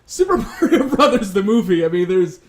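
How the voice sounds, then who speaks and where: A man's voice: high voice, big pitch swings, brisk. A man in a casual pop-culture debate, making wordy, hedged arguments as one of the show's panelists. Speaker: debate show panelist and moderator.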